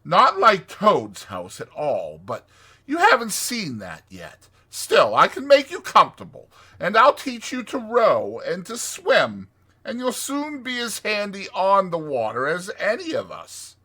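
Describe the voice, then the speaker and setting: A man's voice: high-pitched, huge pitch swings, sharp tone, slightly rough, voice pushed up, heavily acted. A man voicing a cheerful, kindly water rat in a dramatized storybook, with hearty, emphatic delivery. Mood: cheerful, reassuring. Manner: hearty, exclamatory, drawn-out emphatic words.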